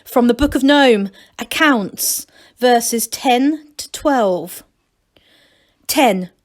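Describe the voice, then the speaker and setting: Female story reader for a school: expressive narration, slightly high pitch. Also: drawn-out words.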